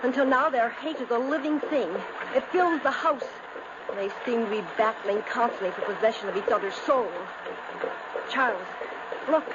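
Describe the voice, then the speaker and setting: A woman's voice: on the high side, moderate pace, lightly acted. Actress as an anguished gothic lady, muffled radio. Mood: fearful. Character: anguished gothic gentlewomen, fearful and imploring. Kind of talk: 1950s radio drama acting. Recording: muffled, noisy 1950s radio recording.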